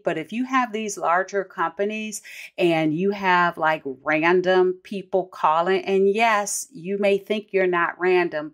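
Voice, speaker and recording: A middle-aged American woman hosting a solo podcast, explaining things in an instructive, conversational way. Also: lowish voice. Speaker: podcast host.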